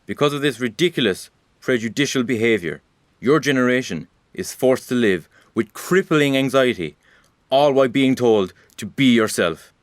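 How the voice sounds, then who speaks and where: A man's voice: medium pitch, lively intonation, normal speed, no room sound, lightly acted. A man playing Rain as a weary observer of humanity, addressing the listener directly in a wry, reproachful audio drama monologue.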